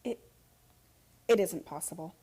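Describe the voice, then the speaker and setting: An actress playing an earnest, disbelieving young heiress in a radio play, halting and insistent in her questions. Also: lowish voice, dark tone, slightly rough. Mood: shocked disbelief.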